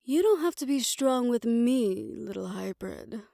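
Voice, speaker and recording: A woman playing a reformed obsessive doctor in a fantasy audio roleplay, speaking in a measured, formal, softly teasing way with quiet care. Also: fairly high pitch, expressive, vocal fry, no echo, clean studio sound. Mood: concerned, probing.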